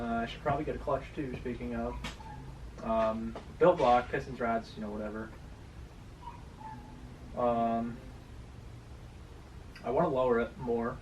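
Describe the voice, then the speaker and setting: A man's voice: mid-range voice, steady tone, takes it slowly, long pauses, recorded from a distance, muffled recording. Offhand, sweary man, noisy recording. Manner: offhand, slangy, swearing, rambling. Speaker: car owner and vlogger. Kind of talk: casual chat about his drift car. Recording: clearly noisy location recording.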